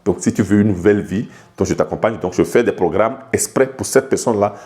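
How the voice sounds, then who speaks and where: A man's voice: lowish voice, fast talker, mellow. A man from Togo, a life coach, explaining ideas to the viewer in an informal video lesson, talking familiarly and stumbling over repeated words and restarts. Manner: addresses the viewer directly and familiarly.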